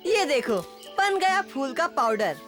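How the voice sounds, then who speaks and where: A woman's voice: high voice, sing-song, slow, music in the background, exaggerated voice. A woman voicing an eager, curious young jungle boy in a cartoon, full of enthusiasm. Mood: eager, cheerful. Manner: bright-eyed, enthusiastic. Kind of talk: Hindi cartoon dub acting.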